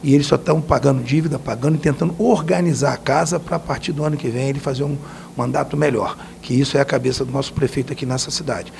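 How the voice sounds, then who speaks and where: A man's voice: mid-range voice, fast talker, gravelly, a little mellow, a little hiss or hum. A man, a state deputy, giving interview answers in plain, repetitive talk.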